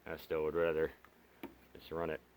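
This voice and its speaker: A man with a very low voice walks through a casual hands-on demonstration. He is plainspoken and sounds like a hobbyist partly talking to himself as he works. A light layer of background noise sits under the homemade recording. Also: normal speed. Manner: plainspoken, muttering to himself while working. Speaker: hobbyist radio reviewer. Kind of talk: casual unscripted product demonstration. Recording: steady background noise, amateur home video.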